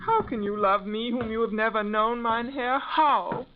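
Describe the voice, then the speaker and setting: A woman's voice: on the high side, measured pace, close to an everyday voice. An actress playing an innocent, frightened young woman in an old-time radio drama, wary and earnestly pleading. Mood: alarmed pleading. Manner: earnest, fearful, breathless pleading. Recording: muffled, noisy vintage radio recording.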